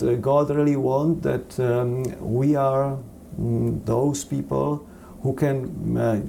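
A man with a Czech accent answering interview questions on theology in a halting, earnest, preacherly manner. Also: mid-range voice, vocal fry, dark tone, a little hiss or hum. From Czech Republic. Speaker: seminary dean, interview guest.

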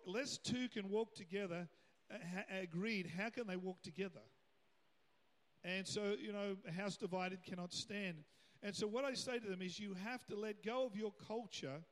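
A man's voice: sits high, a bit bright. A middle-aged man preaching a sermon as a pastor, in a conversational, rambling way with asides to the congregation. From Australia. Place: large church hall. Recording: long hall reverb.

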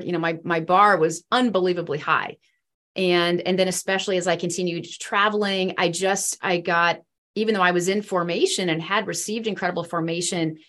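A woman's voice: on the low side, fairly bright tone. A woman in her forties from the Midwest, sharing her faith story as a podcast guest in an earnest, rambling way with many fillers. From East Central Illinois. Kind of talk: faith testimony in a podcast interview.

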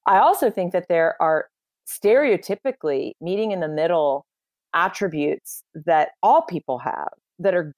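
A woman's voice: on the low side, no echo. A woman giving confident, emphatic advice on communication in a podcast interview. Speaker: communication coach for lawyers.

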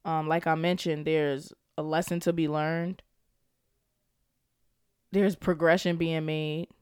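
A woman's voice: sits low, level tone, takes it slowly, a little mellow, smooth, no room sound, clean studio sound. A young woman hosting her own podcast, talking casually in slang and laughing often, a little stuffy from a cold. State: slightly congested. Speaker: podcast host; school counselor. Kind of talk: casual solo podcast monologue.

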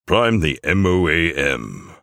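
A man acts out an old Father Christmas turned gruff squad commander in a comic war story. He growls orders in a deep, creaky voice with forceful, highly animated delivery. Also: slow pace, no echo, clean studio sound, heavily acted. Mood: gleeful. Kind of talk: military comedy audio story.

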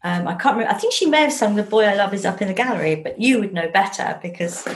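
An English woman talks in a casual, storytelling way at a middling pitch. She is chatty and often interrupts herself, restarting phrases as she thinks aloud. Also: lively intonation, fast talker. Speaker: interview guest, novelist.

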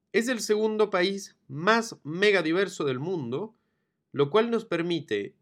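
A man's voice: fairly high pitch, fairly bright tone. A man from Ecuador hosting a travel podcast, talking enthusiastically and expansively. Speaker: podcast host. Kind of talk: solo travel podcast narration.

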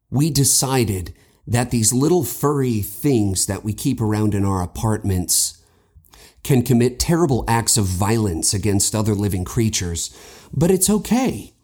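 A man's voice: lowish voice, warm tone. A man hosting a podcast, introducing a horror story in a conversational style. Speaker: podcast host.

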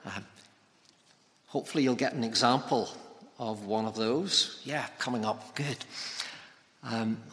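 Bright-voiced man preaching in a reverberant hall. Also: mid-range voice, a little slow, pauses often. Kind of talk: expository sermon. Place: large reverberant church or hall.

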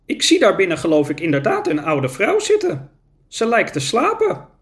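A man's voice: sits high, huge pitch swings, normal speed, a bit bright, voice pushed up, full character voice. A man reading a storybook and voicing a small, nimble, eager young male climber.

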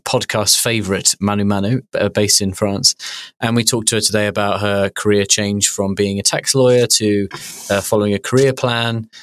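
A man's voice: on the low side, fairly even intonation, moderate pace, dry recording. A man co-hosting a podcast, joking around and speaking with many fillers. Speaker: podcast co-host. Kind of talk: casual podcast banter.

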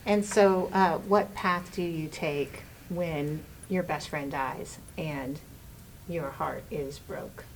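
Slow-paced woman hosting, with background noise. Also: sits low, poor audio. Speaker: discussion host. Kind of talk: moderated book discussion.

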